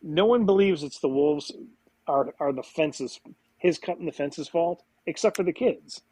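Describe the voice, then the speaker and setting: A man's voice: high voice, sing-song, measured pace, gravelly, warm tone, slightly rough, no echo, low-quality recording. A man co-hosting a comedy movie-review podcast who argues his points, cracks jokes and swears. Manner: wisecracking, argumentative, swears. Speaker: podcast co-host.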